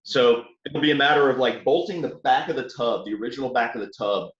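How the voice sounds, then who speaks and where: A man from New Orleans with a light Southern accent, explaining his Jeep build step by step in a relaxed, casual way. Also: medium pitch, quick pace, echoey room, highs cut off. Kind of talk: casual technical chat. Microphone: remote call.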